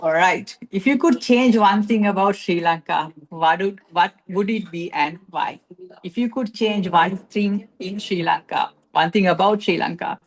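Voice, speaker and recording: A woman's voice: on the low side, vocal fry, low-quality recording. A woman running a meeting's impromptu speaking segment, hesitant with many fillers and restarts. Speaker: table topics master.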